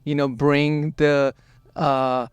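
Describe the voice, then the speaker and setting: Man from colombia hosting a studio chat, flat even voice, no room echo. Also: a little high, clean tone. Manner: measured, unhurried questions. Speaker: podcast host. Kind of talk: interview hosting.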